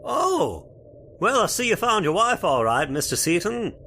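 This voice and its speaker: A man's voice: high voice, sing-song, unhurried, bright, forceful tone, slight background noise, exaggerated voice. A man playing a folksy, spooked cemetery caretaker in old-time radio horror style, with rustic turns of phrase. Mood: friendly. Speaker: voice actor.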